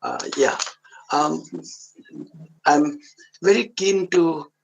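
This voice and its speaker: A man's voice: a little high, extremely slow, creaky, highs cut off, noisy background. A man in the audience asking a question hesitantly, repeating his words.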